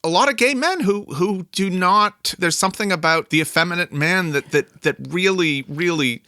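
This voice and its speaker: A man's voice: sits high, moderate pace, pushed and bright. A man hosting an interview, asking thoughtful questions and often restarting his sentences as he thinks. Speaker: podcast host. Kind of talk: interview conversation.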